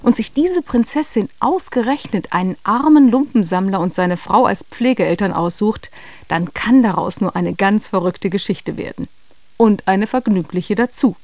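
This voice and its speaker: A woman's voice: medium pitch, normal speed, a little mellow, dry recording. A woman presenting and narrating a children's radio play in a scripted storytelling style, close on a studio mic.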